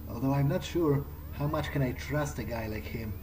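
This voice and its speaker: A man's voice: medium pitch, moderate pace, noisy background. A man giving casual, in-character gameplay commentary, musing aloud to himself in a wry way. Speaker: let's play host. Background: game music.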